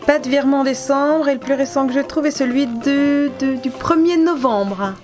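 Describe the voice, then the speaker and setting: A woman's voice: high-pitched, moderate pace, music in the background, lightly acted. A woman playing a fussy, procedure-bound bank adviser in an amateur audio comedy, polite and officious with slight hesitations. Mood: puzzled, procedural. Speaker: voice actor.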